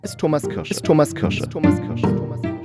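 A man hosting a conversational podcast interview, speaking haltingly as he searches for his words. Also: a little high, lively intonation, over loud music.

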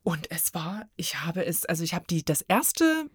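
A woman's voice: on the low side, very animated, normal speed, slightly rough, dry recording, studio quality. A woman chatting casually as a guest reviewer, rambling and circling back mid-sentence as she gives her opinion. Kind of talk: casual chat, giving opinions.

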